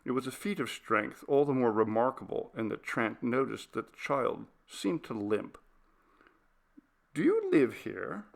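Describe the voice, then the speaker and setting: A man narrating a literary ghost story as an audiobook reader, with measured, deliberate phrasing. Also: medium pitch, creaky.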